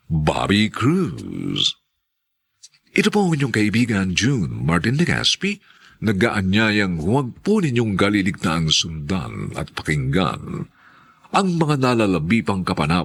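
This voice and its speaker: Filipino man, radio drama narrator, low husky voice, sweeping intonation, theatrical and solemn. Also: a bit bright. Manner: theatrical, solemn, drawn-out phrasing.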